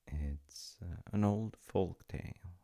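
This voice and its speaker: A man playing a gentle, playful dad, telling a bedtime folk tale in a husky, gravelly voice with unhurried pauses and soft asides. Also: low-pitched. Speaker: audio roleplay voice actor playing a dad. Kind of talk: intimate comforting roleplay, improvised-sounding bedtime storytelling.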